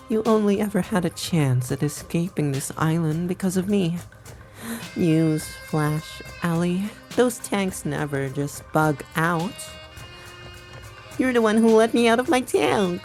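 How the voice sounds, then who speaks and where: A woman playing a sly, cocky turncoat schemer in a visual novel game, with smug, teasing, drawn-out delivery. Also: fairly low pitch, a little mellow, soft background music, lightly acted.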